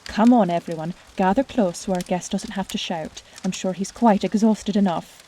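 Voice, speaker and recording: An actress playing a motherly, no-nonsense woman who takes charge, brisk and caring, in an audio drama. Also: mid-range voice, heavy background noise, close to an everyday voice.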